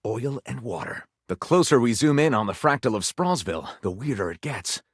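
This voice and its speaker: An actor playing a theatrical, enthusiastic male author pitching his stories as a dating-sim game character. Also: expressive, moderate pace, husky, dry recording, studio quality. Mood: musing, dramatic. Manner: theatrical, flamboyant, dramatically announcing titles.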